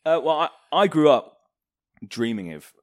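A man from Hong Kong chatting casually as an interview guest, telling anecdotes in an animated way. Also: on the high side, normal speed, no echo. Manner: animated, chuckles as he tells anecdotes. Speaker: interview guest, former fighter pilot. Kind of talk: casual storytelling in a podcast interview.

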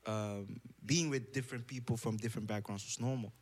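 A man's voice: fairly low pitch, fairly even intonation, brisk, darkish tone. A young man from the Netherlands with a Dutch accent, a podcast guest speaking English as a second language, thoughtful and searching for words. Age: young adult. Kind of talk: casual conversation.

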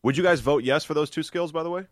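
A man's voice: fairly high pitch, fast, dry recording, studio quality. Curious, questioning young man. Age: 20s. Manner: curious, asks lots of questions. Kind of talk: casual gaming chat.

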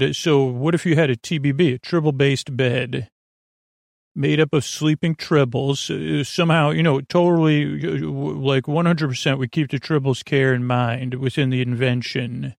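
A man hosting a podcast in a whimsical, meandering monologue, halting and often repeating or correcting himself, with a creaky, gravelly voice. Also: medium pitch, fairly even intonation, dry recording, studio quality. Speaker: podcast host. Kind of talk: rambling, whimsical bedtime monologue.

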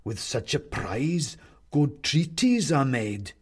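A man voicing a headless toy clown guard who speaks slowly in measured, riddling phrases. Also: mid-range voice, very animated, breathy edge, full character voice. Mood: cryptic.

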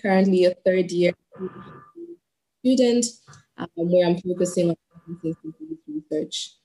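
Low-voiced Zimbabwean woman, measured. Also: darkish tone, poor audio. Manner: articulate, reflective, measured. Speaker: guest, PhD researcher. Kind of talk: interview over a call.

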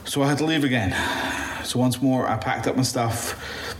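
A man playing a shaken, bitter apocalypse survivor in an audio drama monologue, halting, stammering and swearing as he recounts his ordeal. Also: mid-range voice, lightly acted. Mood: subdued, relieved. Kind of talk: first-person audio drama monologue, confessional. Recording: roomy echo, faint background noise.

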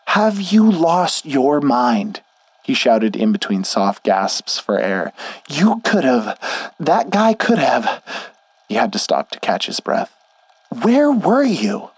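A man's voice: mid-range voice, big pitch swings, slow, slightly rough, no room sound, full character voice. A man voicing a worried, protective young man who scolds urgently while out of breath. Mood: alarmed.

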